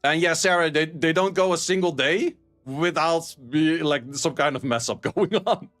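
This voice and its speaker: A man's voice: fairly high pitch, normal speed, fairly bright tone, soft background music. A man with a Dutch accent chatting casually with his stream viewers, blunt and sweary, laughing often. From Netherlands. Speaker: streamer and esports caster. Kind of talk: casual live-stream chat reading viewer messages.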